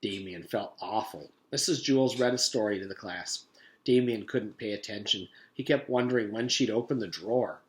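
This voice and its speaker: A man's voice: on the low side, normal speed. A man reading a children's story aloud as a teacher would to pupils, in storybook narration.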